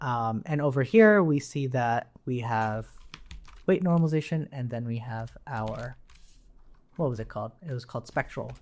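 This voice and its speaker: A man's voice: medium pitch, a little mellow. Man casually talking through code as he types. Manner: informal, thinking aloud while typing. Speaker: programming tutorial instructor. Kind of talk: casual live coding walkthrough with explanations.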